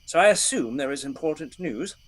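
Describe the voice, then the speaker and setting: Lively, gallant outlaw hero. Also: male voice, on the high side, a little fast. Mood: playful, courtly. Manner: courtly, archaic phrasing, playful. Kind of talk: radio play acting.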